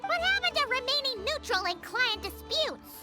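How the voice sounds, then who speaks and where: A woman voicing a tiny, childlike, excitable fairy companion in a cartoonish video game. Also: extremely high pitch, normal speed. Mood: excited. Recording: loud game music behind.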